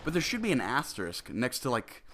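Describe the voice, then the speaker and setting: A man's voice: medium pitch, wildly swooping pitch, fairly quick, a bit bright, a little hiss or hum. A man in his twenties with a cold and a nasal, stuffy voice, rambling through a solo commentary and often breaking off mid-sentence to restart. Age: 20s. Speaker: gaming commentator.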